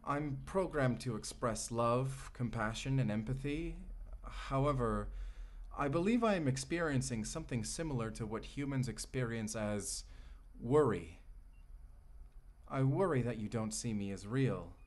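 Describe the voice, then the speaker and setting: A man's voice: mid-range voice, lively intonation, measured pace, lightly acted. A man playing a devoted, lovestruck AI companion in a romantic audio roleplay, tender, articulate and formally polite as he speaks intimately to the listener. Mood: earnest, worried.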